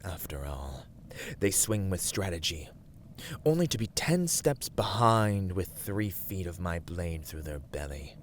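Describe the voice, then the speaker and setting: A man playing an imperious, teasing horned warlord villain in an ASMR roleplay, grandiose and commanding, often dropping toward breathy whispers. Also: sits low, a little hiss or hum. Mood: menacing, taunting.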